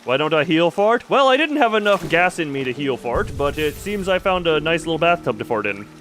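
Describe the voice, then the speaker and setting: A man's voice: fairly high pitch, very animated, fairly quick, fairly bright tone. A man livestreaming a video game with casual commentary and replies to chat, rambling and stammering in a self-deprecating way.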